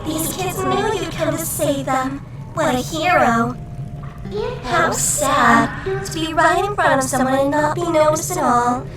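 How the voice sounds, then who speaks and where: A woman's voice: high-pitched, unhurried. A woman voicing an impish, teasing female shadow creature in a fan-made video game dub, with a mocking, sardonic manner. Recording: roomy, distant mic under music.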